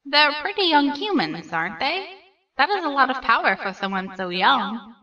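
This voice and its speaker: A woman's voice: high voice, very animated, normal speed, bright, forceful tone, distant mic, muffled recording, exaggerated voice. A woman voicing a cheerful, naive skeleton brother in a comic dub, grandiose, earnest and theatrical. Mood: sympathetic. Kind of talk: comic dub character acting.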